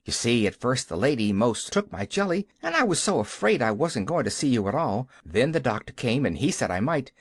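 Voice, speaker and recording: A man's voice: medium pitch, sing-song, a little fast, few pauses, dry recording, studio quality. A man voicing an eager, chattering little girl in an audiobook. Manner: eager, breathless and bubbly.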